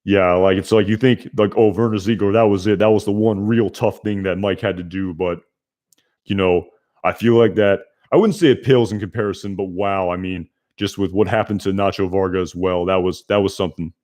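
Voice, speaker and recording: A young man hosting a casual live stream, reviewing a TV episode and answering chat, with a loud voice and a rambling way of talking full of fillers and restarts. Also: deep voice, fairly even intonation, brisk.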